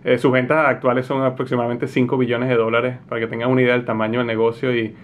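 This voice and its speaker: A man's voice: medium pitch, little pitch movement, fast talker. A man hosting a podcast interview, asking questions with hesitations and restarts. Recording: dull lo-fi sound with faint noise.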